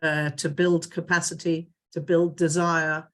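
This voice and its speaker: A woman's voice: low voice, flat delivery, a little slow. A middle-aged woman with a British accent, introducing herself on a panel video call, with many hesitations and restarts. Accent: British English. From United Kingdom. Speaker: executive director of a dialogue center.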